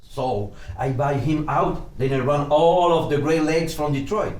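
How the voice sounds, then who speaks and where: Sounding distant in a roomy space, a man plays a resentful mobster at a table read. He complains and swears under his breath in a fairly high male voice. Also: a little slow, natural voice. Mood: complaining. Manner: complaining, profane, muttering. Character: Emilio, grumbling, resentful mobster. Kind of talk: script table reading. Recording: echoey room, far from mic.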